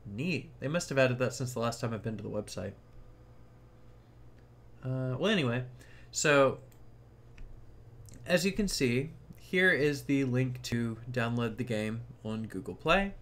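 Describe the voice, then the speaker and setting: A man's voice: mid-range voice, unhurried, long pauses, fairly bright tone. A man narrating a casual tech tutorial, explaining things informally step by step.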